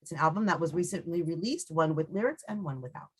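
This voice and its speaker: A woman's voice: on the low side, expressive, fast talker, breathy edge. Female radio interviewer, conversational and loose, rambles informally between points. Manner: informal, rambling, frequent "you know". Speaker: radio show host, interviewer.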